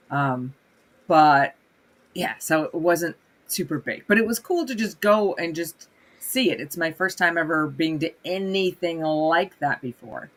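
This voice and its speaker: A chatty woman from Minnesota, hoarse from a recent cold yet still bright and forceful in tone. She is a livestream guest who talks through her stories in an animated, easy way. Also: sits low. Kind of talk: casual livestream conversation.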